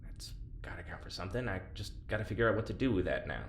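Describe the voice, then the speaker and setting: Tired stubborn prisoner, halting and blunt, audio drama, roomy with background noise. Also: male voice, deep voice, expressive. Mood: weary, reflective. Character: weary, guilt-ridden, stubborn male prisoner. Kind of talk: naturalistic audio drama acting.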